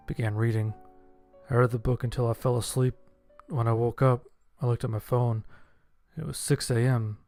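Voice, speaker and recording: A man narrates a horror story in the first person at a middling pitch. Faint music plays behind his scripted reading. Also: darkish tone, no room sound, studio quality. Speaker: audiobook narrator. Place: voice-over with background music.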